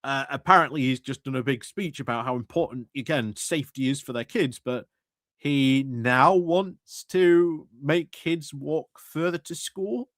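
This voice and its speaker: A man giving casual reaction commentary, speaking in a wry, halting way. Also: medium pitch, fairly bright tone, no room sound, studio quality. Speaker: streamer commentator.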